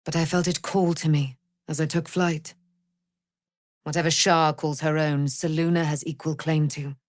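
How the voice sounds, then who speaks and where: Proud immortal warrior woman, slow delivery, formal archaic declaiming, noble and vengeful, fantasy game. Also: low-pitched, level tone, dry recording. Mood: noble, gracious. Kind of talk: dramatic fantasy video game acting.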